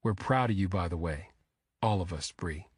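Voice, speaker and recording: Man playing a proud, affectionate detective father, low voice. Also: normal speed, slightly rough, dry recording, studio quality. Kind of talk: audiobook character dialogue.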